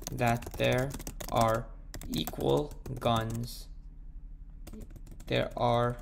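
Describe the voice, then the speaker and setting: A man with a sore, croaky throat, thinking aloud as he works through a math problem and slowing down as he types the proof. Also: mid-range voice, slight background noise. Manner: thinks aloud, slows down while typing. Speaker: math tutor. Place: at a computer, screen recording.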